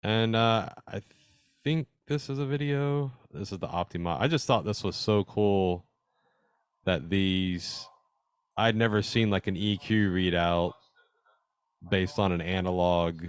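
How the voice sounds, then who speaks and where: A man with a deep, very clear and smooth voice presents slides informally. He explains technical details conversationally and with evident interest, like a hobbyist addressing fellow club members. The sound is dry, with little room echo. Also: fairly even intonation, slow pace, pauses often. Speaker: presenter at an amateur radio club meeting.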